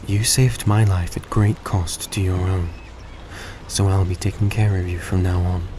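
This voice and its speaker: A man playing a courteous, gentle vampire in an intimate audio roleplay, speaking politely and a little hesitantly to the listener. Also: sits low, measured pace. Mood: reassuring, earnest. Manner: soft-spoken, polite, hesitant, formal phrasing. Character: courteous, gentle male vampire repaying a debt. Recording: steady background noise behind voice.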